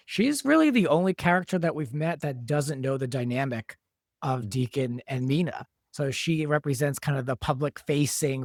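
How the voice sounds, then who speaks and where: A man speaks at a middle pitch in a very dry recording. He talks as a writer and producer in a casual behind-the-scenes discussion, explaining things in a conversational way. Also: no room sound. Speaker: soap opera writer and producer, guest.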